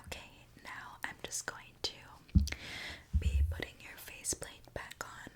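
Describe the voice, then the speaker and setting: A woman performs an ASMR roleplay as a mechanic in a soft whisper. She speaks slowly and gently, narrating each step as she goes. Her delivery is personal and attentive, addressed straight to the listener.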